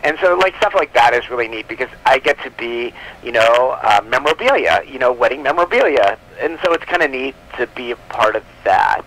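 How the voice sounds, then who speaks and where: A man with a mid-pitched voice chats as a guest on a live telephone interview. He is enthusiastic and talkative, explaining his publishing work in a loose, casual way. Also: expressive, fairly quick, hardly any pauses, fairly bright tone. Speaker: guest, comic book publisher. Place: on a telephone line. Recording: live telephone call on radio show.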